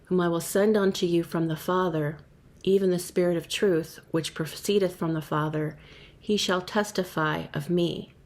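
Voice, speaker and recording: A woman giving a measured, even reading of a long scripture passage. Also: on the low side. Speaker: scripture reader.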